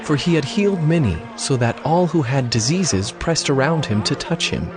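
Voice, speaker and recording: A man narrating scripture as a solemn story, with clear phrasing, in a dramatized audio reading. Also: on the high side, lively intonation, moderate pace. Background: music.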